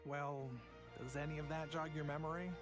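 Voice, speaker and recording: Man acting easygoing young traveler guy, kinda high voice, wry, explains stuff, game music behind. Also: flat delivery, normal speed, close to an everyday voice. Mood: wry, explaining.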